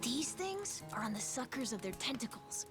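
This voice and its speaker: Curious plucky kid in a video game, kinda high voice, casual and earnest, music playing behind. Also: female voice, sing-song, normal speed. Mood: eager, wistful. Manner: offhand, youthful, earnest. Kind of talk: video game cutscene acting. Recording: music clearly behind the dialogue.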